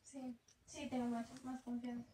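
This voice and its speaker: A woman's voice: on the high side, little pitch movement, a little slow, mellow. A young woman with a Colombian Spanish accent, chatting reflectively about travel as a vlogger. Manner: chatty, reflective, laughs easily. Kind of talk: casual chat. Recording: echoey room with faint noise.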